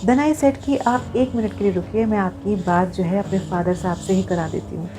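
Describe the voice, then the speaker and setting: A woman's voice: medium pitch, fairly even intonation, normal speed. A woman talking to viewers in an earnest, explanatory vlog style, mixing in English phrases. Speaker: vlogger.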